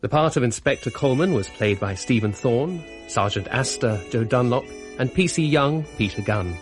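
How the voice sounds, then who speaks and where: A man's voice: medium pitch, lively intonation. Man reading credits over music. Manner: measured, formal. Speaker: announcer. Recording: music playing underneath.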